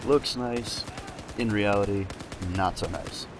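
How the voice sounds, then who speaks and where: A man's voice: fairly low pitch, slow, heavy background noise. A man chatting casually as a game co-commentator, with dry, understated humour. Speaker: co-commentator on video game let's play. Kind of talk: casual chat.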